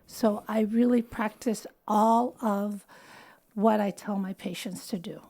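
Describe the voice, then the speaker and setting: Woman in her fifties, mellow low-key voice. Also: fairly even intonation, slow. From Philippines. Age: 50s. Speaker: anti-aging and wellness doctor. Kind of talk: casual interview talk.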